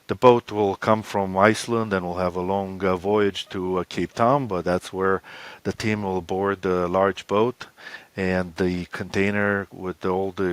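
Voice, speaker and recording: Man, very low pitch, halting phrasing, explanatory interview talk, dry sound. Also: fairly bright tone, clear, resonant tone, no room sound. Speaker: expedition co-leader, interview guest.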